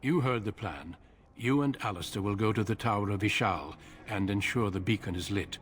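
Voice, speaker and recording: An actor playing a calm, grave older warrior leader in a video game, speaking in a measured, formal manner. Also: male voice, lowish voice, close to an everyday voice.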